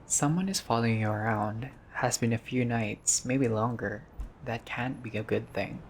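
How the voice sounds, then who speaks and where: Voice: mid-range voice, moderate pace. A gamer doing a casual playthrough, reading visual novel text aloud with informal asides, some swearing and the odd stumble. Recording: clearly noisy recording.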